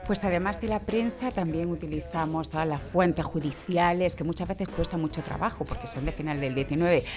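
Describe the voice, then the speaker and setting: A woman with a Spain accent and a slightly low voice gives explanatory interview answers. Faint music plays behind her. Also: a little fast. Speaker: journalist and podcast guest.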